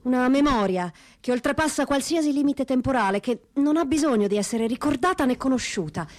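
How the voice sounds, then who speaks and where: A woman giving a solemn, measured dramatic reading of a literary text. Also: high-pitched, expressive, darkish tone. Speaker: stage reader. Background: wind instrument music.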